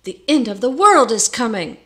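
A woman acts out a crafty young lodger from a storybook, all false holiness. He speaks slowly and solemnly, sighing like someone saintly. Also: a little high, lively intonation. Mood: tearful, solemn. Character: sly young man feigning saintly piety.

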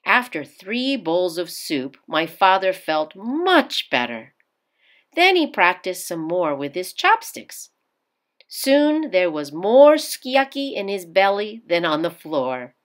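A woman reads a children's picture book aloud as a storyteller, with deliberate, emphatic phrasing. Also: lowish voice, very animated. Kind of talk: expressive children's read-aloud narration.

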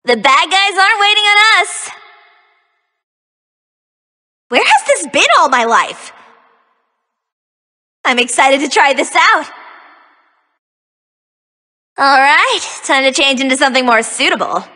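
A woman's voice: sits high, lively intonation, normal speed, bright, forceful tone, big-room sound. A woman voicing a young magical-girl archer heroine for a video game, cocky, peppy and teasing, with sassy, theatrical delivery. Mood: upbeat, determined. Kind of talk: video game character voice lines.

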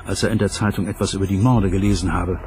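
A man's voice: low-pitched, steady tone, fast, background noise, lightly acted. A man voicing a male police detective in a documentary voice-over dub. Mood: measured, investigative. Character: male police detective investigating an old case. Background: background music.

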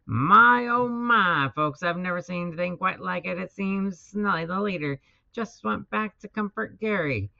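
A man voicing an excited race announcer calling a snail race in a storybook reading. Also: high voice, a little slow, gravelly, no room sound, dull audio, full character voice. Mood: amazed. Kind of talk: sports commentary in a storybook.

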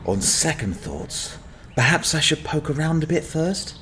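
A man's voice: a little high, big pitch swings, measured pace, noisy background, voice pushed up. A man playing a sardonic young fallen-noble adventurer, telling his story in wry, dramatic audio drama narration. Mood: muttering, hesitant.

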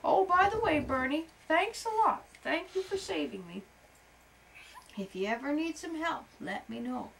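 Woman doing a squeaky, thankful wood bug. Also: expressive, unhurried, creaky, far from the mic, a little hiss or hum, exaggerated voice, rough recording. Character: kindly male wood bug.

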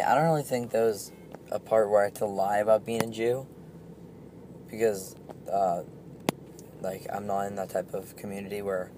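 A teenage boy answering an interview question haltingly, with many fillers and restarts. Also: on the low side. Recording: background noise.

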